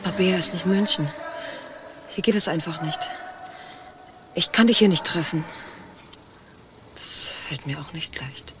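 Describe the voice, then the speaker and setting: A woman's voice: on the low side, unhurried, long pauses, noisy background, close to an everyday voice. An actress playing a married woman in a crime drama, guarded and hesitant, torn over a secret affair. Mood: torn, pleading. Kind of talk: German TV crime drama acting.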